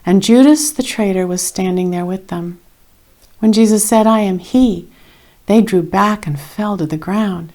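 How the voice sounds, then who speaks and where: A woman reading a scripted Bible story in a measured, dramatic way with expressive emphasis. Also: mid-range voice. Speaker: reader of scripture.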